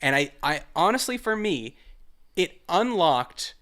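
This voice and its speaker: Animated, high-pitched man in his forties. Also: unhurried. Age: 40s. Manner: animated, joking. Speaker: podcast host. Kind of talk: casual comedic chat.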